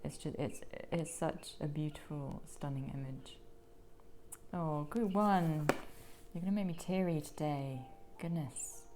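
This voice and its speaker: A woman with a croaky voice giving a gentle pick-a-card tarot reading, slipping into soft whispers now and then. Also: deep voice, measured pace, noisy background. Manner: gentle, soothing, drops into whispers.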